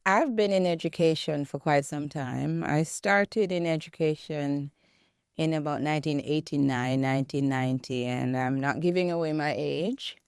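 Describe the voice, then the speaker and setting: A woman in her fifties from Jamaica, with a Jamaican accent, answering interview questions in a reflective, measured way. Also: low-pitched, clear, resonant tone, dry recording, clean studio sound. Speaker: guest, educator and author. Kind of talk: interview conversation.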